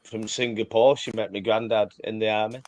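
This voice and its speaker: A man chatting casually about his family, halting and restarting his sentences. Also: fairly low pitch, level tone, darkish tone, dry recording. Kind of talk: casual chat.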